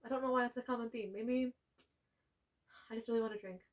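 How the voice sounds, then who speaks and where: A young woman co-hosting a podcast, telling stories in animated casual chat. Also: a little high, fast. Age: young adult. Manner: animated storytelling with frequent laughs. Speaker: podcast co-host.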